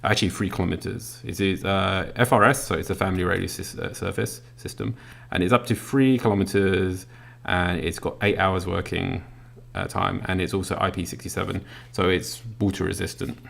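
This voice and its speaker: Low gravelly man demonstrating a gadget. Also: steady tone, fairly quick, a bit bright. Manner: casual and explanatory, many ums and uhs; demonstrating a gadget while talking. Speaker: product reviewer. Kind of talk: unboxing walkthrough.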